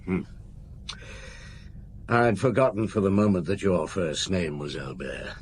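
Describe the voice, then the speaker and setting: An actor playing a patient police chief inspector in a radio drama, asking measured, steady questions. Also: male voice, low voice, a little hiss or hum. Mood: probing. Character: calm, probing male police chief inspector.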